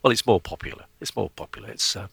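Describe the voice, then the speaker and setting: A man's voice: fairly low pitch, quick pace, gravelly, a bit bright, slightly rough, no echo. Dry-voiced male radio interviewer. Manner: curious questions, frequent short agreeing replies. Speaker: radio host.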